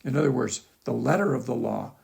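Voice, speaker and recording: A man with a gravelly, creaky voice reads his own article as a measured, explanatory lecture with occasional asides. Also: mid-range voice, a little mellow. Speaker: author reading own article. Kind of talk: scripted lecture-style narration with asides.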